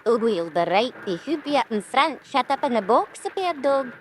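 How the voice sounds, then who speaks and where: A woman's voice: fairly high pitch, big pitch swings, moderate pace, slight background noise. A woman playing a fussy, anxious old lady with a Scottish accent in a radio drama, chattering and repeating herself. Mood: fussy, apologetic.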